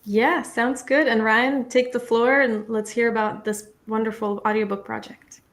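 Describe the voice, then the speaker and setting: A woman's voice: fairly high pitch, flat delivery, normal speed. A woman hosting and interpreting an informal online meeting, talking in a chatty, appreciative way. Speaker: host and interpreter.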